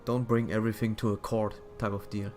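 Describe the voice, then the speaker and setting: A man giving casual commentary as a streamer, halting and repeating his phrases as he thinks aloud. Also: lowish voice, flat delivery, music in the background. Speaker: streamer reacting to another stream.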